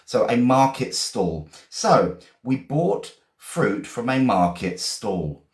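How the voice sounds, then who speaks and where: A man's voice: mid-range voice, sing-song, darkish tone, reverberant. A man with an English accent, teaching English vocabulary in a live stream, chatty and encouraging, greeting viewers and repeating his questions. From England. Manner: repeats questions, slows to spell words out.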